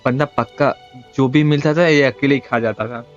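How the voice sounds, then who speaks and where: A man's voice: mid-range voice, steady tone, very fast, dull audio. A man with an Indian accent doing casual, playful live game commentary, often repeating phrases. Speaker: game streamer. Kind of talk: casual live gameplay commentary, mixing Hindi and English. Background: game music.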